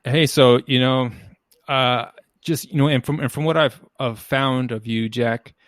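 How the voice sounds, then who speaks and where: A man hosting an interview podcast in a mid-pitched, fairly monotone voice. He talks haltingly and keeps searching for the right words. The sound is dry and close, with no room echo. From United States. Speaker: podcast host.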